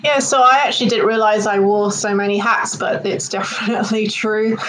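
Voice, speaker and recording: A woman's voice: medium pitch, steady tone, moderate pace, a bit bright, a little hiss or hum, poor audio. A woman from London with a British accent, speaking conversationally as an interview guest and telling personal stories. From London, United Kingdom. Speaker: interview guest, marine biologist.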